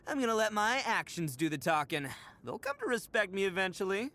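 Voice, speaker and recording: Casting: a young oni delinquent, video game, cocky and goofy, forceful tone, very animated delivery. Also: male voice, sits high, fairly quick, full character voice. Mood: earnest, confident. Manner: cocky, slangy, theatrical delinquent bravado. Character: brash, boastful, goofy young oni gang leader.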